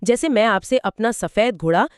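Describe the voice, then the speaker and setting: A woman's voice: on the high side, moderate pace, smooth, no room sound, clean studio sound. A woman co-presenting a scripted explainer dialogue, prompting with questions and summing up points. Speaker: co-presenter asking and summarizing.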